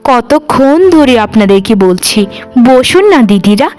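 A woman voicing a polite, earnest young daughter-in-law in a cartoon, gentle and deferential. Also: a little high, expressive, a little slow, soft background music. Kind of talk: cartoon voice acting.

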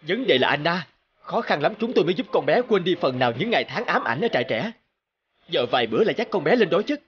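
Protective cartoon dad, fairly high voice, swinging intonation, emotional, distressed. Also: male voice, sing-song, fast talker, muffled recording. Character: protective, distressed, heavyset adoptive father. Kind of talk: animated cartoon dubbing.

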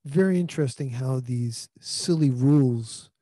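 New guy in his 50s giving a sermon, warm relaxed tone, handheld mic, talks like a conversation and restarts a lot. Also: medium pitch, unhurried, no echo. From New York. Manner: earnest conversational preaching with frequent restarts. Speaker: pastor. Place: small indoor room. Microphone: handheld microphone.

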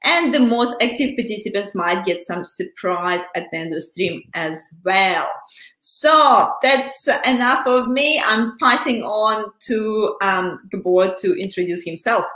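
A woman's voice: mid-range voice, animated, measured pace, pushed and bright, roomy sound, poor audio. A young woman hosting a live stream interview over a video call, chatty and upbeat with frequent fillers. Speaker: host. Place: small room indoors. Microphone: earphone headset on video call.

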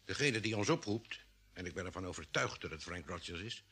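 A thoughtful doctor aboard a spaceship in an old radio play. The voice is lowish, very sharp and raspy, and he explains his reasoning step by step. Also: animated, brisk, full character voice. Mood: pondering. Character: thoughtful male ship's doctor on space expedition. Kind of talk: vintage Dutch radio drama acting.

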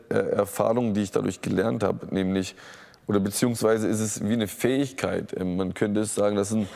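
A man, a talk show guest telling his story calmly on a lapel mic in a TV studio, halting with many fillers and restarts. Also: lowish voice, gravelly. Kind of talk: candid personal account in a talk show. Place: TV studio set with audience.

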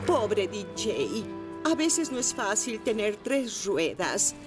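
A woman voicing a kind, apologetic adult scooter in a Spanish cartoon dub, gentle and caring. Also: mid-range voice, sing-song, slow pace, loud music behind the voice.

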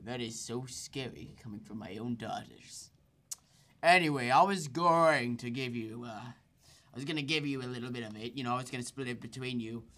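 A man voicing Leonardo, an aging, ruthless mafia boss who talks in a slow, grumbling, theatrical way. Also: mid-range voice, pushed and bright, natural voice. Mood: gruff.

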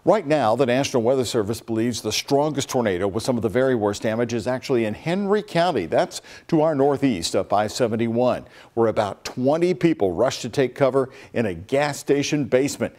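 An older man reading the evening news from a studio desk in a steady, formal delivery. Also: medium pitch, a little fast, hardly any pauses. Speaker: news anchor.

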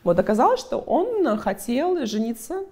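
A woman PR agency head giving interview answers, brisk and talkative, explaining through client examples. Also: a little high, sing-song, darkish tone, slightly rough. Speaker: PR agency head, guest expert.

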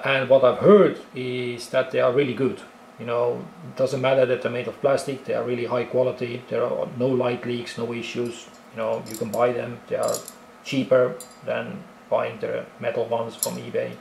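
A man's voice: medium pitch, level tone, normal speed, vocal fry, darkish tone, reverberant, slight background noise, poor audio. A man with an Estonian accent casually explaining film cameras in a tutorial, with frequent fillers and restarts. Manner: talks with many fillers and restarts, explaining step by step. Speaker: film photography hobbyist presenter.

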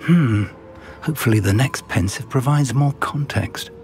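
An actor playing a thoughtful, cautious older professor and mentor in a video game, measured and gentlemanly. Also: male voice, mid-range voice, faint music behind, natural voice. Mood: pondering. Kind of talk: video game cutscene acting.

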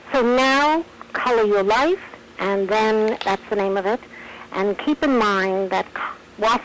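A woman's voice: mid-range voice, vocal fry, a little hiss or hum. A woman author being interviewed on a radio phone call, talkative and emphatic. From United States. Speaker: author guest.

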